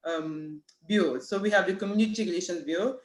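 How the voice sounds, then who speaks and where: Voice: mid-range voice, a little fast. French accent, cameroon-born city official explaining stuff on a panel, tons of "you know", roomy echo. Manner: heavy use of "you know" fillers and "right?" tags. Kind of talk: informational panel talk. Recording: echoey room.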